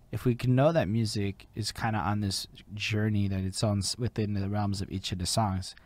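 A man hosting a casual interview show, talking in a loose, rambling way full of fillers. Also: deep voice. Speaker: podcast host.

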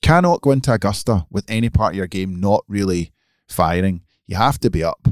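A man's voice: low-pitched, fairly quick, dry recording, clean studio sound. A man co-hosting a podcast, giving emphatic, opinionated golf picks in casual chat. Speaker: podcast co-host.

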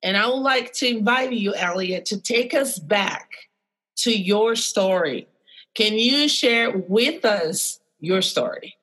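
A woman hosts a conversational podcast interview. She talks at an unhurried, slow pace, with a mid-range pitch for a woman. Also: expressive, a bit bright, husky.

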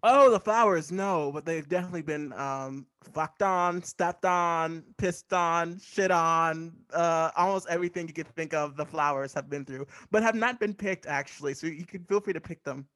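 A man's voice: a little high, moderate pace, hardly any pauses, fairly bright tone. A man improvising casual comic banter in a rambling way. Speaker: improv comedy cast member.

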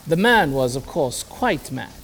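A man playing a genial, sociable Victorian gentleman in a period drama, courteous and animated. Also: a little high, unhurried, slightly rough, heavily acted. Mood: conversational, considered. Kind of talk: period audio drama acting.

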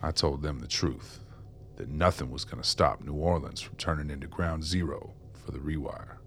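A man's voice: rumbling low, normal speed, creaky, fairly bright tone, slight background noise, full character voice. An actor playing a remorseful, ragged old ex-con in a radio drama, world-weary and streetwise as he confesses. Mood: grim confession. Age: older.

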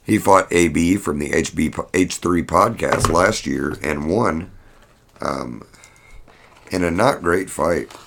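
A man's voice: low voice, takes it slowly, vocal fry, background noise. A man hosting a podcast, giving a casual fight recap in a blunt, rambling, profane manner. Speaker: podcast host.

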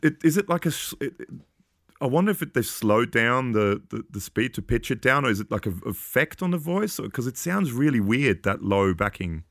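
A man with a low voice talking casually about an album, hesitant and laughing often as he goes. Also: very animated, normal speed, no room sound, clean studio sound. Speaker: podcast guest. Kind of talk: casual music discussion.

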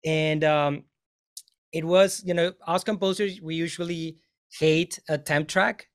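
A man's voice: fairly high pitch, fairly even intonation, unhurried. A man, a film composer on a panel, talking casually and rambling with many fillers and restarts.

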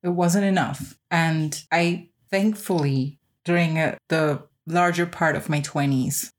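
A woman's voice: sits low, steady tone, slow. A woman in her thirties or older giving a personal, reflective podcast monologue with emphatic, repeated phrasing. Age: 30s or older. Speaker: podcast host.

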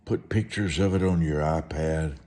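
An American man preaching a motivational sermon and telling stories in a deliberate, emphatic way, repeating short phrases. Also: very deep voice, warm tone.

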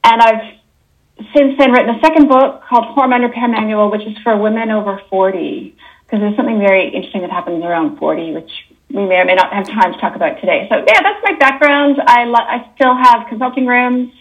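A woman's voice: a little high, fairly even intonation, a little fast, lo-fi sound. A woman in her fifties with a Canadian accent, a guest naturopathic doctor chatting casually in an interview, often correcting herself mid-sentence. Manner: chatty, with self-corrections and soft laughs.

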